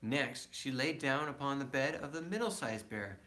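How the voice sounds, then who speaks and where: A man reading a children's story aloud as a father would, casually and haltingly, correcting himself as he goes. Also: medium pitch, lively intonation, a bit bright.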